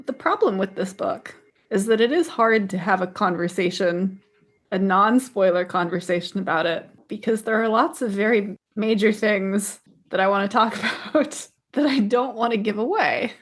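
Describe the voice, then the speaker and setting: Mid-pitched woman in casual book chat. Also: normal speed. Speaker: podcast co-host discussing books.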